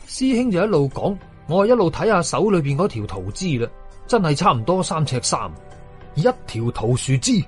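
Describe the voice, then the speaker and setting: A man with a Hong Kong Cantonese accent tells a horror story in a husky, fairly high voice. His delivery is dramatic and very lively in pitch, with chatty asides to the listener. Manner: colloquial storyteller asides and exclamations. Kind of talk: dramatic first-person audio story narration.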